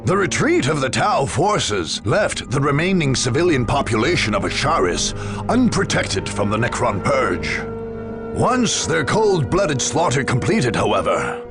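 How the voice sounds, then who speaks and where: A man performing as a grave, theatrical chronicler, solemnly narrating a grim far-future war for a game in a deliberate, dramatic way. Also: mid-range voice.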